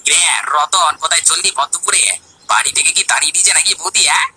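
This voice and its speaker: A man playing a scheming, pushy village man in a cartoon story, a flatterer and bully. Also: on the high side, fast talker, a little hiss or hum, rough recording. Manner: wheedling, pushy. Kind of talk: Bengali cartoon story dialogue.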